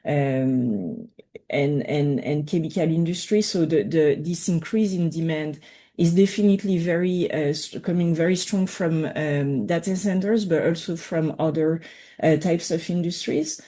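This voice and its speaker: A woman's voice: sits low, measured pace, warm tone. A middle-aged woman with a Quebec French accent, presenting on a webinar panel over a video call, hesitant with many fillers and restarts. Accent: French Canadian. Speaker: utility panelist.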